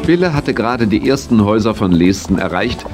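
A man's voice: fairly low pitch, lively intonation, brisk, over music, natural voice. A man playing the narrator of a children's audio play, reading a scripted story. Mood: narrating. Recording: noisy vintage audio play recording.

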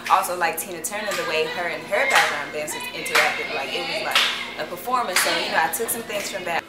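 A young American woman chatting casually to camera in a slangy, enthusiastic, expressive way. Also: fairly low pitch, a little fast. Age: young adult. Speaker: choreographer. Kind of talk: casual vlog talk to camera. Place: small room indoors. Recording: roomy sound with loud music behind. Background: loud music.

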